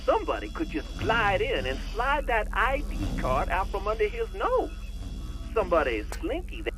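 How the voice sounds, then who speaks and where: Over noisy radio comms comes a cocky young American hacker from a video game. His high voice swoops wildly as he wisecracks in sassy, theatrical slang. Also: huge pitch swings, normal speed. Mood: playful, bragging.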